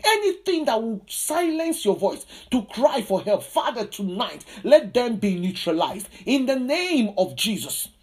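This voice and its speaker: A woman's voice: a little high, big pitch swings, unhurried, hardly any pauses. A woman preacher delivering a charismatic sermon and prayer, emphatic and insistent, with repeated declarative phrases.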